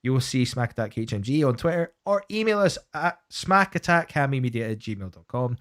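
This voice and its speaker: A man's voice: mid-range voice, sing-song, fairly quick, a bit bright. A Scottish man co-hosting a wrestling podcast, chatting casually and running through the show's plugs. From Scotland. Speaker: podcast co-host.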